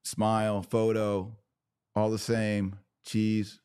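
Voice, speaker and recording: A man's voice: fairly low pitch, monotone, slow pace, a little mellow, clean tone, no echo. An American man hosting a podcast, giving impassioned off-the-cuff religious commentary in a preachy, emphatic way with punchy phrases. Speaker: podcast host taking viewer questions.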